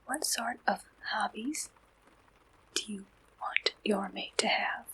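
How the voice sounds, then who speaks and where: Woman, ASMR roleplay interviewer, very breathy whisper, bright tone, gentle questioning. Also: mid-range voice, slow, low-quality recording. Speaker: ASMR roleplayer acting as a dating service interviewer.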